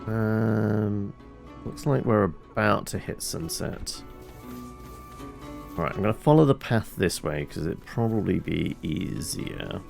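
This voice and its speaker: A man's voice: fairly low pitch, takes it slowly, vocal fry, a little mellow, faint music behind. A man giving wry, rambling casual commentary as he plays a game, thinking aloud. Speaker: game streamer.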